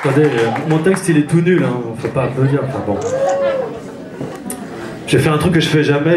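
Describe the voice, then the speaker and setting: A man's voice: mid-range voice, a little mellow. Man performing slam in a roomy theatre. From France. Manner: rhythmic, intense spoken-word delivery. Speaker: slam poet. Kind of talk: slam poetry performance on stage. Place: theatre stage. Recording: roomy theatre echo, live.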